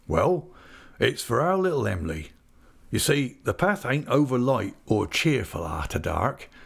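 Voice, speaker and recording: Man voicing a hearty, lively rustic old fisherman. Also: medium pitch, creaky, husky, voice pushed up, heavily acted. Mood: cheerful glee. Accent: rural English dialect. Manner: jovial, earnest, homely speech.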